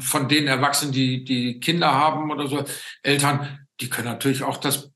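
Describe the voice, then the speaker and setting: A man in his fifties hosting a casual chat, addressing viewers in a halting, hesitant manner over a video call. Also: mid-range voice, fairly even intonation, bright, forceful tone. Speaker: interview host. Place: indoors at home, small room. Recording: roomy, echoey video-call sound.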